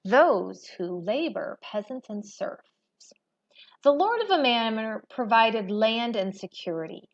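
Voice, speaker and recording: A woman reading a history textbook aloud as a teacher, in a measured, expository manner. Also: fairly high pitch, expressive. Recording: dull, lo-fi recording.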